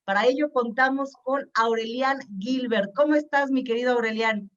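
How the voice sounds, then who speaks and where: A woman's voice: a little high, moderate pace, far from the mic. Effusive woman host, off-mic. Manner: effusive, enthusiastic presenter. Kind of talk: web TV talk show hosting. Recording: muffled sound from off-mic.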